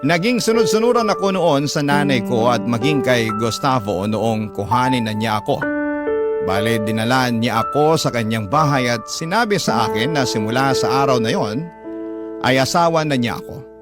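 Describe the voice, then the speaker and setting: A Filipino man narrating a radio drama, reading a letter in a measured, dramatic storytelling style. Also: medium pitch, expressive. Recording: loud music bed under narration.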